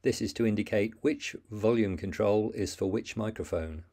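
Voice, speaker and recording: A man with a fairly low, warm and mellow voice reads step-by-step instructions as a voice-over. He keeps his delivery plain and methodical and restarts the odd word when he stumbles. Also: normal speed. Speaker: instructional narrator.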